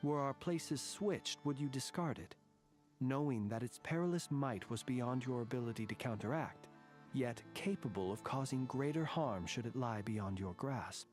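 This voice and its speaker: An actor voicing a formal, world-weary soldier-scholar who talks in a wordy, dryly wry way. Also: male voice, mid-range voice, moderate pace, a little mellow, faint music behind, close to an everyday voice. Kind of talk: game voice acting.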